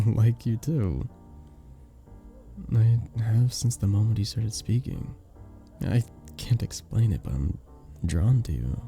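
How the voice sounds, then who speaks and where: A man voicing a sweet, earnest vampire bartender in a romantic ASMR roleplay, soft-spoken and flirtatious, with hesitant little chuckles. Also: on the low side, vocal fry. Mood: tender, shy. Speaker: audio roleplay performer. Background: soft background music.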